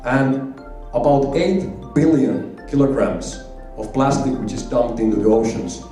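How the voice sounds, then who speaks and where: Man from Finland, conference presenter, mellow voice, distant echoey hall recording, faint music behind. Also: medium pitch, unhurried. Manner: emphatic, repeats key figures for effect. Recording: echoey hall, heard from far off.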